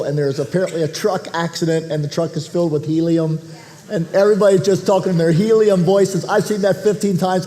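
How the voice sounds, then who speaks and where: A male pastor delivers a sermon with a stuffy, nasal voice from a cold. His tone is dark and mellow, pitched a little high for a man, and he talks conversationally, restarting phrases and clearing his throat. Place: church auditorium.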